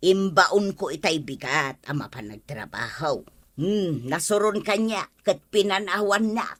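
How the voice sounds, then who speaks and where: Actress as grumbling credit-seeker, Ilocano-accented comedy; husky, very animated, wheedling delivery. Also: female voice, fairly low pitch, measured pace. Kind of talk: Ilocano comedy radio drama acting.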